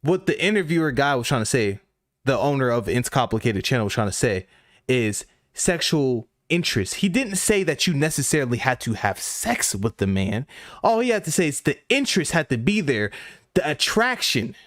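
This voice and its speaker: A man's voice: mid-range voice, very animated, moderate pace, a bit bright, dry recording, studio quality. A man giving blunt, slangy, lecturing commentary over interview clips. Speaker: reaction commentator.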